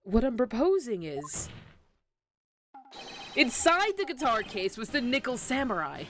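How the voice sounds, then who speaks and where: A woman's voice: on the high side, very animated, measured pace, a bit bright, soft background music, pitched above the performer's own voice. A woman voicing a brash young male defense lawyer in a game, with a confident, accusing delivery.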